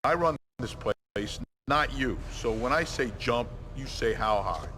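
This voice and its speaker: Guy playing a gruff bike shop boss in a game, bossy and blunt, engine noise behind him. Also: medium pitch, animated, a little slow, close to an everyday voice. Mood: irritated, commanding. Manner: blunt, commanding. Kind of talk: video game cutscene dialogue.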